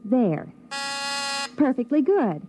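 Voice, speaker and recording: Female, perky tour guide for vintage sci-fi radio: high, swooping intonation, reassuring patter, noisy old recording. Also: big pitch swings, slow pace, lo-fi sound, exaggerated voice. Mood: chirpy, instructive. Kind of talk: 1950s radio drama acting.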